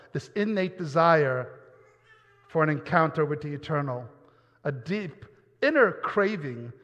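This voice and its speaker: A man's voice: on the high side, fairly bright tone. Earnest man preaching in a reverberant hall. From New York, US. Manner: earnest, repeats words when stumbling. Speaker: pastor. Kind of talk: personal testimony sermon. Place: church. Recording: dull sound with long hall reverb.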